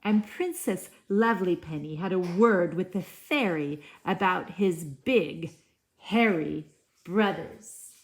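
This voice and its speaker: A woman's voice: on the low side, takes it slowly. A woman teacher giving a read-aloud lesson, talking to young children and putting questions to them. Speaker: elementary school teacher. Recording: echoey room.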